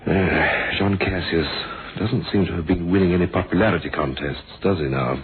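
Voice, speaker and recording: A man's voice: sits low, normal speed, narrow, tinny audio, lightly acted. A man acting a shrewd, courteous but suspicious police inspector in a radio drama, drily wry and formal. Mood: wry, probing. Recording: thin band-limited old radio, noisy.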